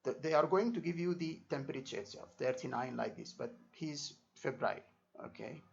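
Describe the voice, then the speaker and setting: Man tutoring, creaky voice, dull recording. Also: fairly high pitch, expressive, a little fast. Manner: repetitive, checks understanding with short tags. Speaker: exam tutor. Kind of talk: informal spoken lesson. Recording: dull lo-fi microphone sound.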